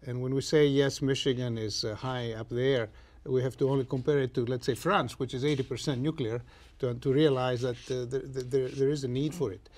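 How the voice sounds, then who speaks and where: Male expert, fifties, average pitch, speaking off the cuff in a studio panel discussion. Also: fairly even intonation, brisk, darkish tone.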